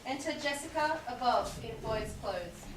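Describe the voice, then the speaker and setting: In an echoey room with faint noise, a woman reads out stage directions. Her voice is fairly high and comes from some distance off the mic. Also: expressive, unhurried. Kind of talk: scripted stage directions reading.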